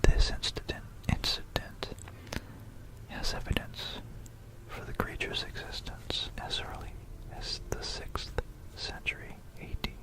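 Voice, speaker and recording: Breathy whisper, slow pace, ASMR host, halting musing history narration, close binaural mic. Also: poor audio. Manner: halting, musing, repeats phrases. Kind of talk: rambling informal history narration with asides. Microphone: close binaural microphone.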